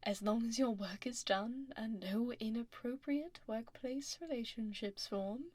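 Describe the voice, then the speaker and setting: A woman playing a powerful, composed dragon executive in an ASMR roleplay, speaking softly and breathily up close with a poised, gently commanding manner. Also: on the high side, unhurried, no room sound. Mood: composed, reassuring. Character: powerful composed female dragon executive boss, teasing, exacting. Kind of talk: soft-spoken ASMR roleplay. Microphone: close binaural microphone. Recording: dry close binaural studio recording.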